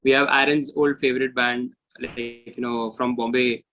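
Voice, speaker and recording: A man's voice: medium pitch, flat delivery, moderate pace, pushed and bright, lo-fi sound. A man with an Indian accent talking informally as a musician guest in an interview, chatty and inclined to joke. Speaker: bassist in a death metal band, interview guest. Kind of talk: casual interview chat.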